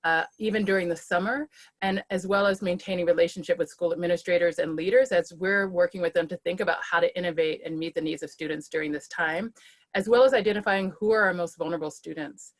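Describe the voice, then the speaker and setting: A woman's voice: fairly low pitch, steady tone, quick pace. Earnest woman on a webinar call. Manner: earnest, frequent ums and restarts. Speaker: school-based behavioral health leader. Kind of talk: webinar panel talk.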